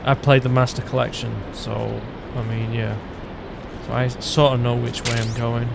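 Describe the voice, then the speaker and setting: A man with a mid-pitched, creaky voice commentates casually on a game, thinking aloud in a loose way. Game ambience runs clearly behind him. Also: little pitch movement, normal speed, heavy background noise. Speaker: gaming video host.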